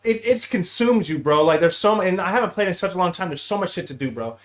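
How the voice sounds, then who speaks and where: Higher-pitched man, slangy casual podcast guest. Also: animated, fast. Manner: slangy, repeats himself, peppers talk with bro and man. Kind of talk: casual gaming chat.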